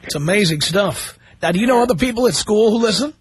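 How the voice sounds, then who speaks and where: Husky-voiced man hosting talk radio. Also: sits high, animated, quick pace, no room sound. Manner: teasing, blunt, chatty. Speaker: radio talk show host. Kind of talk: call-in talk radio banter. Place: radio studio. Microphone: studio microphone.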